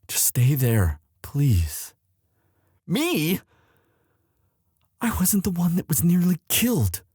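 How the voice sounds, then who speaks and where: A man playing a lovestruck young prince in an intimate audio roleplay, tender and protective, with a halting, emotive delivery. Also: on the high side, huge pitch swings, warm tone, husky, dry recording, clean studio sound, full character voice. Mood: distraught, tender. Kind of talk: boyfriend ASMR audio roleplay drama.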